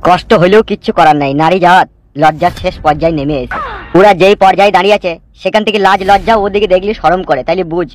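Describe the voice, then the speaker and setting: A man's voice: sits high, fast talker, lightly acted. A man voicing a cynical, gossiping gangster sidekick in an amateur comedy cartoon, preachy and crude. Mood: scandalised. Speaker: cartoon voice actor.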